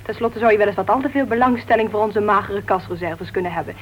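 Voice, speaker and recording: An actress playing an outspoken, flirty married woman who writes crime novels, brisk and blunt, in a Dutch radio play. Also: medium pitch, natural voice. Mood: indignant. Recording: dull, noisy old radio recording.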